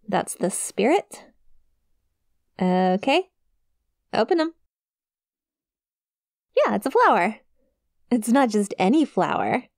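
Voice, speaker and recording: A woman's voice: medium pitch, very animated, slow pace, creaky, pauses often, darkish tone, dry recording, studio quality, full character voice. A woman playing a friendly, awkward young succubus demon in an intimate ASMR roleplay, with a shy, casual, hesitant manner. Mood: shyly excited. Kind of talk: ASMR roleplay, intimate one-sided conversation to the listener.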